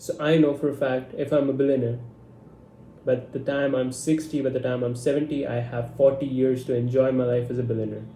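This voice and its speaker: A young man in his twenties speaks with blunt, brash confidence. His voice is very dark and mellow, and it rings in an echoey room over a faint background hum. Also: mid-range voice, steady tone, fast talker. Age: 20s. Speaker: vlogger. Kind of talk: casual talking-head monologue.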